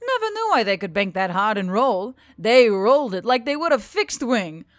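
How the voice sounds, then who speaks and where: A woman's voice: fairly high pitch, big pitch swings, moderate pace, no room sound, clean studio sound. A woman voicing a cheerful female adventurer in an audio story, chirpy and exclamatory.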